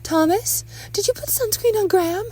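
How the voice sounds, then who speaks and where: A woman's voice: extremely high pitch, moderate pace, mellow, no echo, pitched above the performer's own voice. A woman voicing an anxious, doting mother who is sugary, controlling and insistent in a dramatic script reading. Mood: stern. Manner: doting, insistent, emotionally intense.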